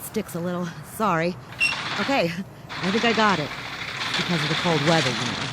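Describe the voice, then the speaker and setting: A folksy, rambling colony worker in a radio play. He is apologetic and eager to please, with a clear layer of machinery noise around him. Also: high-pitched, animated, moderate pace. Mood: nervously obliging. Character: chatty, eager-to-please operator at a shabby space colony. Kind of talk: radio drama acting.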